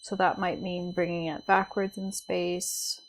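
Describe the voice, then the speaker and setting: A woman leading a guided body scan meditation as a teacher, speaking in a gentle, soothing, unhurried way with pauses. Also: fairly low pitch, flat delivery, a little hiss or hum, rough recording.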